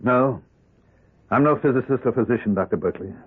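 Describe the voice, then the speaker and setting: A man's voice: mid-range voice, a little fast, breathy edge, natural voice. An actor playing a somber, weary, very wealthy man in an old-time radio drama, speaking solemnly. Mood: somber, resigned. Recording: muffled narrow-band old radio recording.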